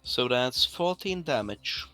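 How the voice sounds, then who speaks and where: Male game player, slow pace, terse casual remarks, faint music behind. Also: medium pitch, animated, pushed and bright.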